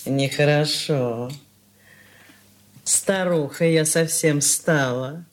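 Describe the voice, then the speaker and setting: An actress playing a sly, fond old nanny in a radio drama, with folksy, teasing speech. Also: female voice, low-pitched, very slow. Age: older. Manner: folksy, drawling, with chuckles and exclamations. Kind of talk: Russian stage-style radio drama acting.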